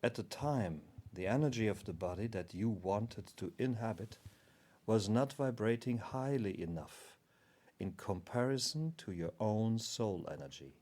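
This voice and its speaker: A low, unhurried cosmic guardian spirit, voiced by a man. The speech is formal and measured, like a calm teacher of spiritual matters, solemn and very deliberate. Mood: calm, instructive.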